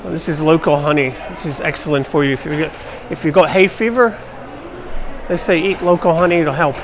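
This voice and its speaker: Casual male vlog host, mid-high voice, recorded outdoors over clear market noise. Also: fairly quick. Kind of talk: casual chat while shopping. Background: street and market noise.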